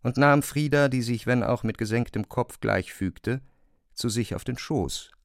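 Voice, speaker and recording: A man's voice: mid-range voice, measured pace, creaky. A man reading scripted literary narration for a radio drama.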